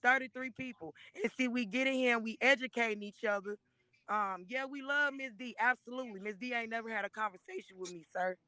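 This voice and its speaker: A hoarse woman in her thirties gives an emotional, preachy testimony on a live stream, speaking into a phone and often calling listeners by name. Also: a little high, fairly quick, pushed and bright, no room sound. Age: 30s. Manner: earnest and preachy, repeatedly addressing listeners by name.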